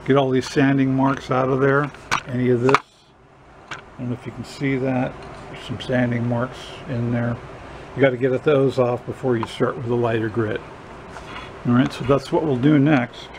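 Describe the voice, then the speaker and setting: A man's voice: mid-range voice, little pitch movement, normal speed. An older man giving a casual hands-on workshop demonstration in a folksy, plainspoken way, recorded by a camera in a garage. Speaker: drum restorer hosting a how-to video. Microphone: camera a short distance away. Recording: clear background noise, workshop ambience.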